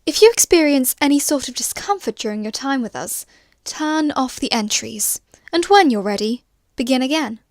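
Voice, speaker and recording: A woman playing a spaceship's systems voice in an audio drama, measured and formal. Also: high voice, animated, dry recording, clean studio sound. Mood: detached. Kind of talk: scripted audio drama narration.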